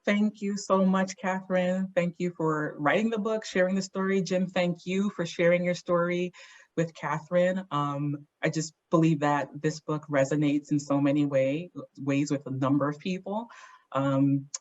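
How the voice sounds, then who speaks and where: A woman's voice: fairly low pitch, fairly even intonation, moderate pace, a little mellow. A woman moderating a conversation as host, heard in dull, lo-fi audio. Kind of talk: moderated interview talk.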